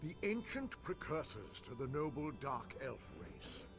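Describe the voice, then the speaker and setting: Pedantic fantasy game mage, very animated pitch, impatient, condescending, music behind. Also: male voice, unhurried. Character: scholarly elf mage, fascinated by spirits, impatient. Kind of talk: video game character acting.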